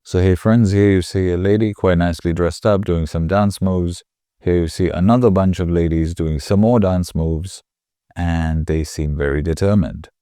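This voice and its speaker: A man with a slightly nasal voice, casually talking through a software tutorial in a chatty, rambling way. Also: low-pitched, steady tone, normal speed, no echo, studio quality. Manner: chatty, rambling, self-correcting. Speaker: tutorial host. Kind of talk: casual screen-recording tutorial.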